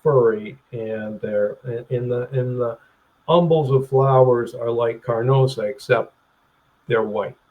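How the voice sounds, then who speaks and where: A man speaking as a guest over a webcam video call, rambling and halting, clearing his throat and restarting his sentences. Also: mid-range voice, fairly even intonation, phone-quality sound. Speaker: plant grower, interview guest. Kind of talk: casual interview chat.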